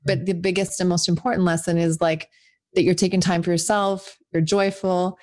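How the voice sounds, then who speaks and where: A woman's voice: on the low side, flat delivery, normal speed, darkish tone. A woman in her forties, an author talking as a guest in a casual interview, chatty and rambling with many restarts. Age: 40s.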